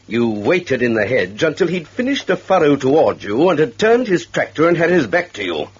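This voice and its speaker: An actor playing a titled male police chief in a radio drama, curt and defensive, with a rough, husky voice. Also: fairly high pitch, very animated, moderate pace. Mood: accusing. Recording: dull, muffled old radio recording.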